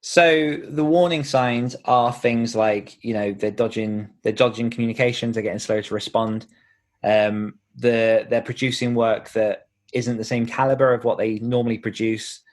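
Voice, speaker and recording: A man's voice: medium pitch, steady tone, normal speed. A man speaking casually as a podcast guest, an author who explains things in a chatty way with imagined examples. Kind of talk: casual interview conversation.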